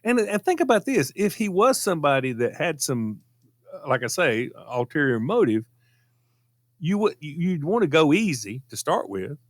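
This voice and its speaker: A middle-aged man with a fairly high, very lively voice in a dry studio. He chats in a folksy, anecdotal way and repeats phrases for emphasis. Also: normal speed, dry recording. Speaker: radio show regular telling personal stories. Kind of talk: casual chat, personal anecdote.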